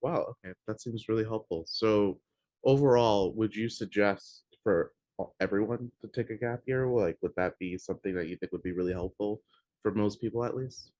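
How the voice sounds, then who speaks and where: Young male host, student podcast; friendly, eager interviewer; lowish voice, dry recording. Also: moderate pace, a little mellow, no room sound, poor audio. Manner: friendly, eager, casual phrasing. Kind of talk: interviewer asking questions.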